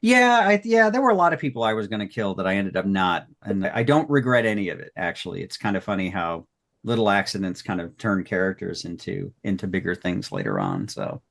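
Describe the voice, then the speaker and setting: A man's voice: low-pitched, big pitch swings, brisk, gravelly, fairly bright tone. A man talking casually and reflectively as a podcast creator looking back, rambling and appreciative of his colleagues. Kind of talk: casual retrospective talk.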